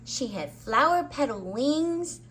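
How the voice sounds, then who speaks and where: A woman's voice: sits high, big pitch swings, slow pace, a bit bright, a little hiss or hum, poor audio. A woman hosting story time, narrating a children's book expressively with dramatic emphasis on words. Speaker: children's story reader and host.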